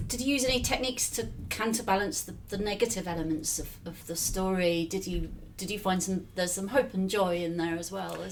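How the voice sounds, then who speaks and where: A woman's voice: mid-range voice, brisk, hardly any pauses. A woman interviewer in conversation, phrasing questions and reflections tentatively, recorded at a distance from the mic with some faint noise.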